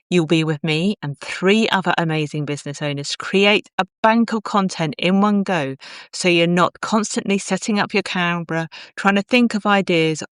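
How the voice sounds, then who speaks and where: A woman's voice: on the low side, clear, resonant tone, no room sound. British woman podcast host, close dry sound. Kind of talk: conversational interviewing and promotional talk.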